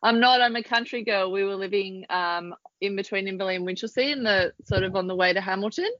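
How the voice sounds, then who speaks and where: An Australian woman in her thirties, a podcast guest who chats casually, laughs easily and fills pauses with ums. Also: mid-range voice, a bit bright, no room sound, dull audio. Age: 30s. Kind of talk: casual interview chat.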